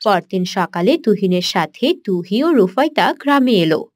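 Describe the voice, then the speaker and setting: A woman's voice: mid-range voice, expressive, normal speed, close to an everyday voice. A woman giving scripted narration for a cartoon moral story.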